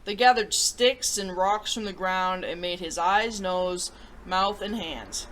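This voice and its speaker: Expressive woman reading to children. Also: on the low side, slow pace, low-quality recording. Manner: animated, expressive delivery aimed at children. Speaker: host of a children's story show. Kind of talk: storybook read-aloud for children.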